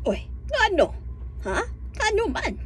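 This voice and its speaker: A woman's voice: very high-pitched, wildly swooping pitch, slow, a little hiss or hum, exaggerated voice. A woman playing a chatty, nosy village friend in a radio drama, exclamatory and gossipy. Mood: curious, alarmed.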